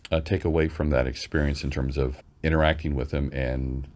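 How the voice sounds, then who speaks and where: A man hosting a casual interview, putting his questions in short phrases with pauses between them. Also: a bass voice, level tone, darkish tone. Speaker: interviewer, host.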